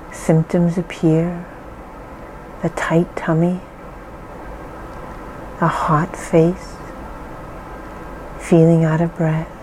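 Slow, soothing woman guiding relaxation. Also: lowish voice, warm tone, a little hiss or hum, low-quality recording. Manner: soothing, reassuring, deliberate pauses. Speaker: guided relaxation guide. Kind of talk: slow calming guided meditation.

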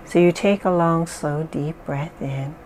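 Woman, guided breathing exercise, slow calm delivery, faint background hiss. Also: sits low. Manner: slow, calm, soft-spoken guidance with pauses. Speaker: therapist guiding an exercise.

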